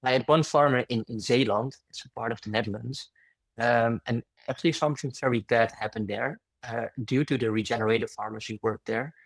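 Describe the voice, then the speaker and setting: A man's voice: mid-range voice, brisk, creaky, dry recording, rough recording. A man on a discussion panel telling a story about a farmer, in fluent English with some fillers and restarts.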